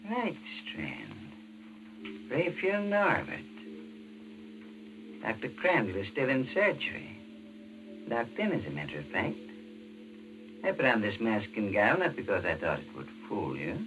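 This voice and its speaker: An actor playing a menacing, mock-courteous villain in a 1940s radio thriller, with a mannered, theatrical delivery. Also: male voice, lowish voice, huge pitch swings, unhurried, pauses often, full character voice, low-quality recording. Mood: smug. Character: gloating male villain in surgical mask, menacing, theatrical, courteous. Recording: old radio broadcast with loud music.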